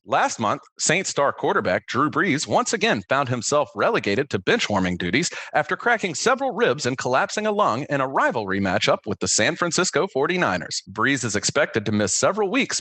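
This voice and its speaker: A man's voice: medium pitch, pushed and bright, husky, no echo. A man hosting a radio sports segment, reading scripted satirical headlines in a mock-enthusiastic announcer delivery.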